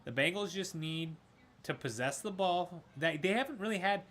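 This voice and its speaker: A man doing casual live play-by-play of a football game, excitable, his voice raspy from screaming. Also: high-pitched, normal speed. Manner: excitable, shouts at big plays. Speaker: gaming streamer doing play-by-play. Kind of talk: casual live sports commentary.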